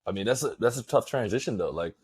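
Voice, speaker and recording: A man's voice: on the low side, animated, quick pace, a little mellow, husky. A man hosting an interview, asking loose, laid-back questions full of fillers. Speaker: podcast host, interviewer.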